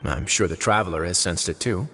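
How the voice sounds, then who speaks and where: A lowish, composed male voice a shrewd warden who weighs every word and keeps a dry humor, with faint game music underneath. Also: bright, forceful tone. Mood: scheming. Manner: measured, composed, dryly amused. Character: calm, shrewd male prison warden nobleman. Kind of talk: video game voice acting.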